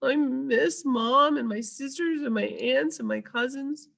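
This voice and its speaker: A woman's voice: high-pitched, measured pace, vocal fry, fairly bright tone, voice pushed up, exaggerated voice. A woman voicing a sad young female elephant calf, sniffling and tearful, in a children's storybook. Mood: tearful, pleading. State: crying, sniffling.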